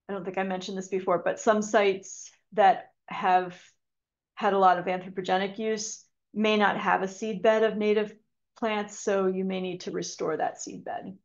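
Woman, online lecture, gravelly fry, frequent ums and restarts, muffled video call audio. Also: medium pitch. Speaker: conservation speaker giving a talk. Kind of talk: webinar lecture with slides.

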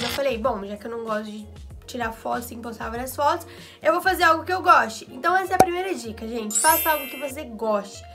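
Slightly hoarse bright high-voiced young woman. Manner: chatty, quick asides and fillers. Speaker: vlogger. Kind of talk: casual tips vlog. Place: small bedroom studio indoors. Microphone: camera in front, head and shoulders framing.

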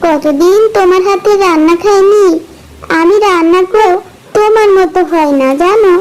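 Young cartoon village wife played by a woman: extremely high, girlish, expressive, fond, over faint background noise. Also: normal speed. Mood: delighted. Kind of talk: Bengali cartoon folk-tale acting.

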